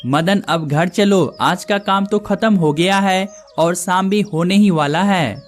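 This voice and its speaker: A man's voice: sits high, slow, soft background music. A man voicing the brothers and villagers of a cartoon story in plain conversational dialogue.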